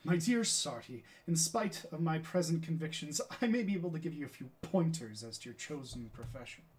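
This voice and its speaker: A man performs an aging, defeated scientist in a classical stage monologue. He lectures his pupil in grand, declamatory phrases, bitter and self-condemning, with a somewhat high-set voice. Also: lively intonation, a little fast, natural voice. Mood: grave, reflective. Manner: theatrical, declamatory, impassioned oratory.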